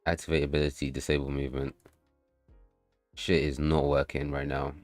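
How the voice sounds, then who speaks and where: A man livestreaming while he builds and tests his game, chatting loosely with viewers, swearing and bursting into excited outbursts. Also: a bass voice, moderate pace, no room sound, soft background music. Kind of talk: casual livestream chat while coding and playtesting.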